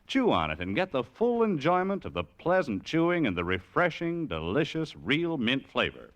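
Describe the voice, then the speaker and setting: A man reading announcements in a smooth, polished style as a vintage radio announcer. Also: fairly high pitch, wildly swooping pitch, moderate pace, a bit bright. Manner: smooth, polished, emphatic delivery. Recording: vintage radio broadcast with music.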